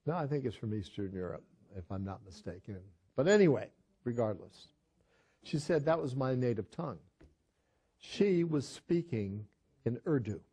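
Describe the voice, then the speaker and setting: A man's voice: medium pitch, mellow, breathy edge. An older man, a pastor, teaching a Bible study in a conversational sermon style, telling a story earnestly with frequent restarts.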